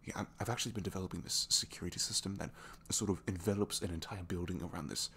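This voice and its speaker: A man's voice: sits low, steady tone, quick pace, a bit bright, slight background noise. A man acting out a bashful, flirtatious supervillain with a good heart in an intimate ASMR roleplay, speaking hesitantly with sheepish stammers. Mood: eager, explaining.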